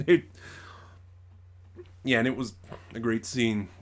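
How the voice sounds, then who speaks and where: A man's voice: mid-range voice, takes it slowly. A man hosting an informal spoken review, opinionated and rambling, often stopping to correct himself. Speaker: reviewer, channel host.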